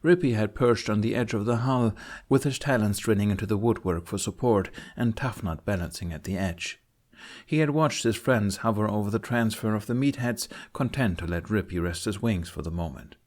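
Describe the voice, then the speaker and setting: A man's voice: fairly low pitch, normal speed, darkish tone. A man reading a fantasy audiobook as scripted narration.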